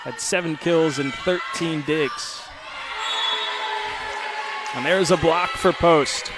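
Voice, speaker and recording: A man calling a college volleyball match as play-by-play announcer, giving lineups and background in a steady, informative way. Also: a little high, slow pace, poor audio. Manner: steady informative delivery with occasional stumbles over names. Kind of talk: live sports commentary.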